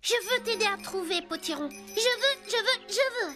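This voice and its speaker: Cartoon puppet boy: very high, childlike, eager and kind, music underneath. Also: expressive, normal speed. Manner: bright-eyed, childlike, eager. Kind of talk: French cartoon dub acting.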